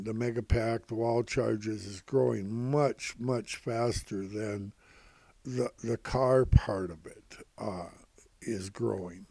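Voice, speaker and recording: An older man giving informal, rambling financial commentary in a halting, repetitive, folksy way, calling himself Grandpa. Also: mid-range voice, gravelly, mellow, no echo. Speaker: host of stock prediction videos.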